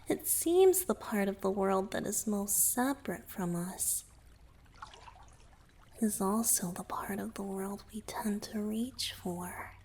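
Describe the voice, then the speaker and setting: A woman voicing a gentle, playful young merman boyfriend in a romantic ASMR roleplay, soft and breathy, often near a whisper, tender and softly teasing. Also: mid-range voice, animated, slow, long pauses, slight background noise. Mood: musing, tender.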